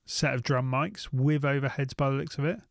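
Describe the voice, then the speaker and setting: A man's voice: medium pitch, fairly even intonation, a little fast, gravelly, no room sound, clean studio sound. A British man giving casual, unscripted commentary as a gear reviewer, thinking aloud and often repeating his phrases.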